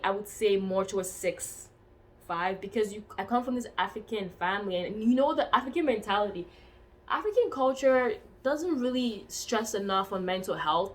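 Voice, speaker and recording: Woman in her twenties, plain talk. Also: mid-range voice. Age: 20s. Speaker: interviewee. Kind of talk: casual interview answers. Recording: faint background noise.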